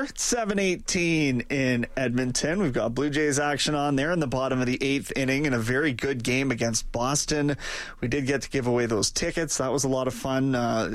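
A man's voice: mid-range voice, no echo. A man hosting a sports radio talk show, chatting loosely with fillers about the coming season. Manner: easygoing, chatty, rambling with fillers. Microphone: studio microphone.

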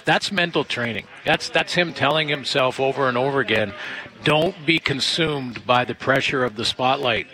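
A man's voice: medium pitch, moderate pace, sharp tone, poor audio. A man co-hosting a sports talk show, a former player breaking down the mental side of kicking with confidence and easy laughs. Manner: laughs often, confident, analytical.

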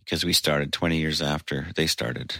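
A man in his sixties, a singer, telling an animated, laughing anecdote in an interview. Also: very deep voice, moderate pace, gravelly, no echo, clean studio sound. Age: 60s.

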